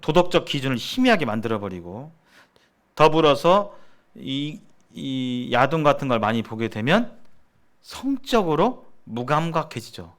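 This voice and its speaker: A man's voice: medium pitch, sing-song, a little slow. A man giving a sermon-like seminar lecture as a preacher, speaking emphatically and repeating phrases for stress. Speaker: preacher leading a church seminar.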